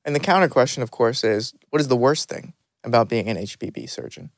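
A man's voice: mid-range voice, fairly quick, no echo, studio quality. A man hosting an interview, asking curious, conversational questions. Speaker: podcast host, interviewer.

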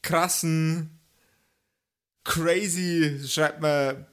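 A man narrating and bantering as the game master of an improvised tabletop podcast, halting and often repeating words. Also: fairly high pitch, fairly even intonation, a bit bright.

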